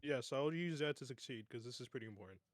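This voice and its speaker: A man's voice: mid-range voice, lively intonation, fast, no echo. Male tabletop player, mid-range voice, casual, blunt and foul-mouthed, dry recording. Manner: sweary, blunt. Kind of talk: casual chat.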